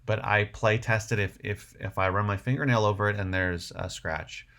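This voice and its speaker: A man's voice: lowish voice, steady tone, moderate pace, a bit bright. A man hosting a casual livestream, answering viewer questions in a rambling way, thinking aloud as he goes. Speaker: livestream host.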